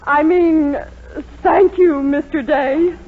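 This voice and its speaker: An actress playing a haughty, scheming matron, theatrical and gushing, in a 1940s radio comedy. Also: female voice, high-pitched, fairly even intonation, slow pace. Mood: flustered, gushing. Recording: muffled, noisy 1940s radio recording.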